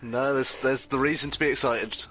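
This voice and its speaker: A man's voice: mid-range voice, steady tone, normal speed. A man chipping in brief remarks as co-commentator on a live race stream. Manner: brief interjections. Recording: distant mic with loud background noise.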